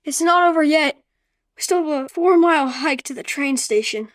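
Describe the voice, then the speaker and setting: A very high-pitched young boy, acted by a woman, cautious yet determined. He is an orphan hero of a radio drama, recorded dry and close. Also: expressive, moderate pace, no echo. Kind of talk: radio play acting.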